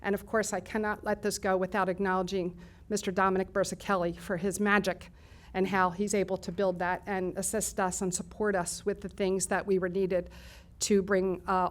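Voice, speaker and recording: A woman's voice: lowish voice, little pitch movement, a little fast. Woman giving formal ceremony remarks. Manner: formal, reads lists of thanks with some fillers and restarts. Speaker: college nursing program leader.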